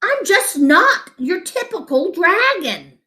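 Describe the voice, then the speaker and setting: A woman's voice: extremely high pitch, very animated, takes it slowly, pushed and bright, reverberant, pitched above the performer's own voice, exaggerated voice. A woman voicing a sweet, dejected young male dragon with a plaintive, sighing manner.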